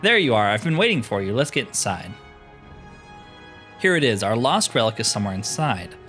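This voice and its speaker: A man voicing a gruff, boastful fantasy adventurer from a video game, chatty and self-assured as he tells his story. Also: lowish voice, very animated, fairly quick, a bit bright, soft background music. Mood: brisk, confident. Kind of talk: reading fantasy game dialogue aloud.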